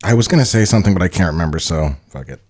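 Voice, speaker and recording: An American man co-hosting a podcast, chatting casually in an animated way and swearing freely. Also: low-pitched, rapid-fire. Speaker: podcast co-host. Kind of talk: casual chat.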